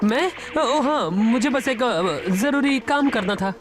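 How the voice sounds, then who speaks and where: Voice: medium pitch, expressive, takes it slowly. An actor playing an earnest, secretive teenage boy hero in a cartoon, hesitant and evasive in speech. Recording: music behind the voice.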